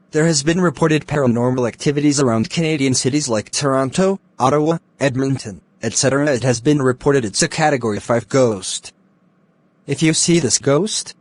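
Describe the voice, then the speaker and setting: A man's voice: mid-range voice, dry recording. A man delivering a scripted mock emergency broadcast as a formal, stilted alert announcer.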